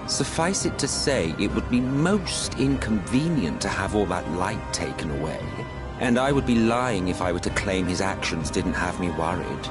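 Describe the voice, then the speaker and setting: An actor playing an ancient, scornful immortal sorcerer in a video game, theatrical and condescending, with a drawn-out sardonic delivery. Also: male voice, low voice, expressive, over music. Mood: coolly threatening. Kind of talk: dramatic video game voice acting.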